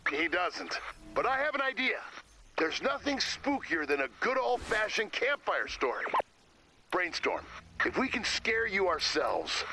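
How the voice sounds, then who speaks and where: An actor playing a wry, reluctant teammate in a video game, with dry, wisecracking delivery. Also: male voice, high-pitched, very animated, unhurried, bright, forceful tone, a little hiss or hum, heavily acted. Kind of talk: video game character voice acting.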